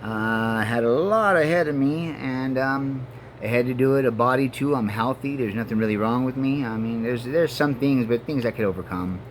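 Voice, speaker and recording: A man in his forties from Mexico and Colorado, a native Spanish speaker, talking in a halting, earnest personal monologue full of fillers and restarts. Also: mid-range voice, slight background noise. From Mexico and Denver, Colorado. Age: 40s. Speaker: vlogger. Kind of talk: rambling personal monologue to camera.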